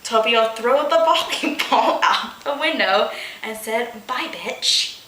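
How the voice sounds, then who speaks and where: A woman hosts a comedic read-aloud in a slightly high, very bright voice. She takes her time, sounding amused and exasperated as she drops in asides. The room around her is noticeably echoey. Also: animated, slow pace, a little hiss or hum. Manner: amused, breaks into giggles while reading, exasperated asides. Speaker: host of a fanfiction reading show. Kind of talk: comedic dramatic reading with asides. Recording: roomy, echoing room sound.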